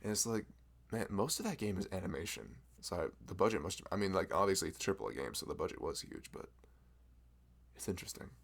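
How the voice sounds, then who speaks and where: A man's voice: deep voice, sing-song, fast talker, creaky, exaggerated voice. A man voicing a friendly, nerdy young florist in an ASMR roleplay, rambling, laughing often and teasing himself. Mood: chatty.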